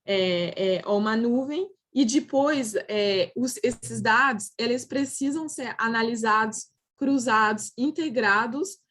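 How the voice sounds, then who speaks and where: Woman, French accent, non-native speaker, fairly high voice, hesitant video-call presenter. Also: takes it slowly, fairly bright tone. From France. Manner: hesitant, frequent filler "é" and restarts. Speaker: panelist. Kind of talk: online roundtable presentation.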